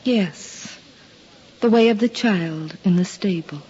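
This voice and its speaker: Slow-speaking, tender aunt. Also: female voice, medium pitch, warm tone, breathy edge. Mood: anxious. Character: tender, wondering aunt, anxious and hopeful. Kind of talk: 1950s radio drama acting. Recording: muffled old radio recording.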